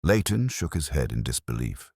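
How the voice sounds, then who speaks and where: A man narrating a scripted mystery story as an audiobook narrator, in a measured, solemn way. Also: rumbling low, very animated, vocal fry, a little mellow, husky, dry recording, clean studio sound.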